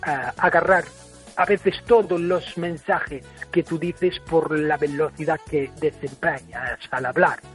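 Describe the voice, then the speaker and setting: A man's voice: fairly high pitch, fairly even intonation, takes it slowly, fairly bright tone, faint music behind. An Irish man whose first language is English, speaking hesitantly with many fillers, polite but critical. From Ireland.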